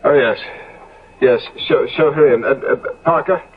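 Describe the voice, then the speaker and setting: An actor in a 1970s radio drama playing a haunted, guilt-ridden gentleman, halting and theatrical. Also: mid-range voice, slightly rough. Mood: uneasy politeness. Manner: halting, repeating words, theatrical. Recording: muffled phone-like vintage radio recording.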